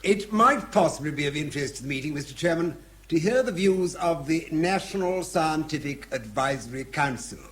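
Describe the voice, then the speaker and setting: Pompous, animated council chairman. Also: a little high, normal speed, hardly any pauses, slight background noise. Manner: formal, pompous, circumlocutory. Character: pompous, self-important scientific council chairman. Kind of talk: radio drama acting.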